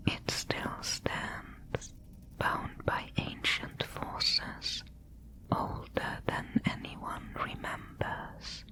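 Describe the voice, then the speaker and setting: An ancient spider weaver, whispered by a woman in an ASMR audio roleplay, slow and ominous. Her hushed voice is sharp and bright, and every word is drawn out very slowly. She speaks like a guardian issuing solemn warnings. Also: rough recording.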